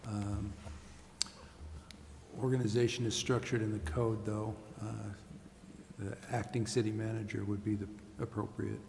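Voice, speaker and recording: Casting: American man, city official, low-keyed and gravelly, careful hesitant phrasing, recorded in a reverberant meeting hall. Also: level tone, a little slow, long pauses, a little mellow. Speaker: city official advising a council. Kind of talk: formal public meeting talk. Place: council chamber. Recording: echoey hall, faint background noise.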